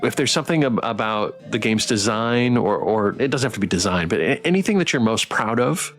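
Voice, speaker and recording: A man hosting a casual livestream interview, asking questions in a halting, appreciative way. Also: on the low side, expressive, faint music behind. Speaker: interviewer and stream host.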